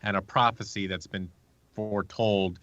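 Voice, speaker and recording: A man with a fairly low, sharp-edged voice talks casually as a guest in an interview. He explains things at length and slips into quoted exchanges. The sound is very dry, with a slightly dull, lo-fi recording. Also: fairly even intonation, moderate pace, no room sound. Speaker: guest, audio drama creator.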